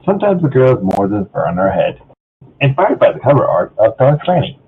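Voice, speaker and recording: A very husky, rough-voiced man talks and reads casually over a thin, phone-like online call. His delivery is informal and swings widely in pitch. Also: big pitch swings, fairly quick. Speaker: amateur fan fiction reader. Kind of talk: casual chat and group story reading.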